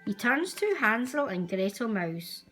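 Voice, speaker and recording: A woman reading a children's storybook aloud as a storyteller, with expressive, deliberate delivery. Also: fairly high pitch, faint music behind. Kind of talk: scripted storybook narration for young children.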